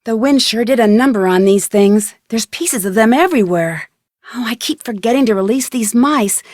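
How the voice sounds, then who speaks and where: A woman's voice: a little high, no echo, studio quality, natural voice. A woman voicing a plucky, curious young female detective in a video game, speaking earnestly and conversationally as if thinking aloud. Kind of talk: scripted video game voice acting.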